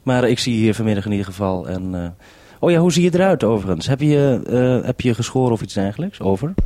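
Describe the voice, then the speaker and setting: A man's voice: mid-range voice, sing-song, fast, warm tone, no room sound. A man, a radio reporter talking informally and a little hesitantly as he coordinates over a link. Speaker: radio reporter and programme maker.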